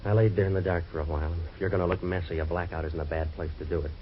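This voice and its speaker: A man playing a deep, fast-talking wisecracking tough guy. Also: steady tone, natural voice. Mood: narrating. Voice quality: dry sardonic wisecracks. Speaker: hard-boiled narrator and lead. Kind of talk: 1940s radio drama acting. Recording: dull lo-fi old radio recording, faint hiss.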